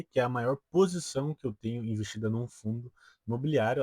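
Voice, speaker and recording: A man's voice: mid-range voice, a little mellow, no echo. A twenty-year-old man with a Brazilian accent explains things casually to his viewers as a video host, often tagging his sentences with a check-in question. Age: 20 years old. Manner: peppers sentences with tag questions. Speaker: personal finance video host.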